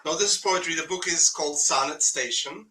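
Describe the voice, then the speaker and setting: A man's voice: fairly high pitch, a little fast, sharp tone, poor audio. A man with a Russian accent, a poet guest, talking casually and hesitantly over a video call, with many fillers and restarts. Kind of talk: casual conversation.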